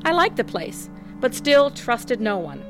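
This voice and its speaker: Radio drama narrator: candid first-person storyteller, plain-spoken, fairly high pitch, faint music. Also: female voice, big pitch swings, a little slow. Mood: reflective. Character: tough, candid woman recounting her troubled childhood.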